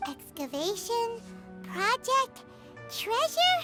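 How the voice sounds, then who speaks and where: A tiny floating fairy companion, chatters in an extremely high, squeaky voice that leaps all over the place. She is excitable and refers to herself in the third person. Video game music plays clearly behind her. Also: slow, full character voice. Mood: curious. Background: background game music.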